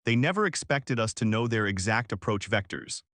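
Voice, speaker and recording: A man's voice: lowish voice, animated, moderate pace, fairly bright tone, no echo. Man reading an authoritative fleet admiral, formal and dry. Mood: satisfied.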